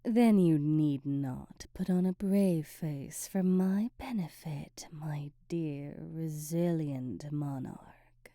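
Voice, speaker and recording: A woman acts out a silky, scheming sorceress from a fantasy ASMR roleplay, with a low, very soft and dark voice. She speaks slowly, teasing and insinuating in ornate courtly phrases. The sound is close and dry. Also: dry recording. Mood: coaxing, possessive. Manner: theatrical, teasing, insinuating, ornate formal diction. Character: sly, silky, manipulative female sorceress advisor to a queen.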